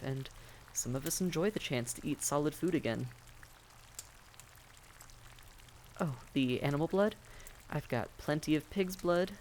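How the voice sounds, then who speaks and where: A man playing a friendly, patient vampire innkeeper in a roleplay audio drama, giving gentle, folksy, reassuring advice straight to the listener. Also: mid-range voice, vocal fry, slight background noise, lightly acted. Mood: hospitable, chatty. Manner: gentle, folksy, politely advising.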